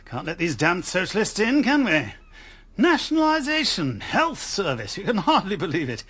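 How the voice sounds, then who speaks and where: A bluff, snobbish Conservative agent for radio drama. His upper-class English voice is high and husky, swinging widely in pitch. He talks in hearty, clipped old-boy phrases. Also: wildly swooping pitch, normal speed, fairly bright tone, full character voice. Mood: blustering, confiding. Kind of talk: British radio drama acting.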